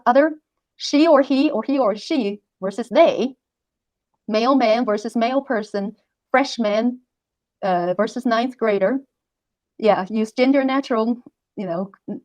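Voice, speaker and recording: A woman whose first language is not English, explaining things casually as an interview guest, halting and repeating words. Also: on the high side, a little mellow, dry recording. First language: not English, which is a third language. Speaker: interview guest, reading tutor.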